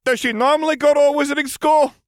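A man's voice: very high-pitched, steady tone, fast, no room sound, clean studio sound, voice pushed up. A man reading aloud, voicing an awkward, naive young male vampire who explains things hesitantly. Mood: puzzled.

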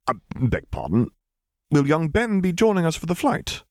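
A man's voice: fairly high pitch, sing-song, moderate pace, a little mellow, no echo, studio quality, pitched above the performer's own voice. A man voicing a polite, hesitant older admiral with a formal, flustered manner in a story reading.

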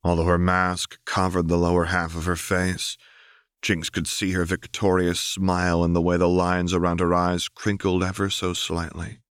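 A man's voice: sits low, monotone, moderate pace, fairly bright tone, clear, resonant tone, no room sound, clean studio sound. A man reading scripted fiction aloud as an audiobook narrator.

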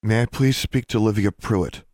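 A man's voice: fairly low pitch, normal speed, a little mellow, no echo, clean studio sound, pitched above the performer's own voice, natural voice. A man voicing a teenage girl witch who is desperate, defiant and insistent, as in an audiobook. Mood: urgent, pleading. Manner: insistent, challenging.

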